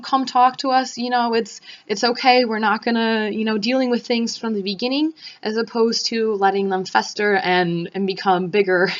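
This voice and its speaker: A woman's voice: mid-range voice, lo-fi sound. A young woman in her twenties giving an earnest audition statement for a student leader role, thoughtful and full of ums and restarts. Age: 20s. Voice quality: loud voice. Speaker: student applying for section leader.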